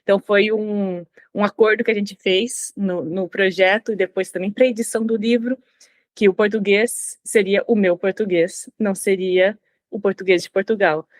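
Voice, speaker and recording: A woman's voice: mid-range voice, fairly even intonation, normal speed, no room sound. A Brazilian woman being interviewed as a language consultant, speaking reflectively and often restarting her phrases. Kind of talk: conversational interview.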